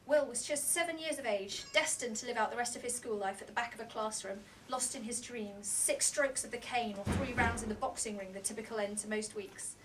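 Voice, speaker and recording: A woman's voice: fairly high pitch, fairly quick, hardly any pauses. A woman reading a novel aloud as scripted narration, at a book launch. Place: indoor bookshop launch party. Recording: distant mic in a noisy room.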